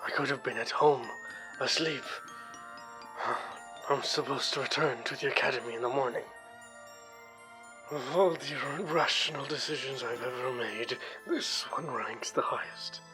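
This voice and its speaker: A man playing a proper, irritable young academy man in a narrative podcast drama, with formal, measured phrasing. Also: mid-range voice, close to an everyday voice. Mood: weary, irritated. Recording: background music under voice.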